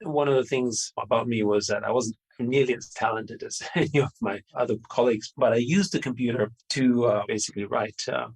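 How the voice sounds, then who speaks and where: A man's voice: mid-range voice, steady tone, brisk, gravelly, few pauses, husky, poor audio. A middle-aged man from Austria, answering interview questions in a rambling, conversational way with many fillers and restarts. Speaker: podcast guest.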